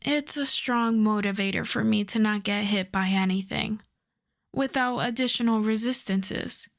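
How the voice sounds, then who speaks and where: A woman playing a suave, self-assured female supervillain in a roleplay audio drama, flirting and teasing in an unhurried way. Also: medium pitch, vocal fry. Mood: earnest, flirtatious. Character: suave flirtatious female supervillain courting her costume maker.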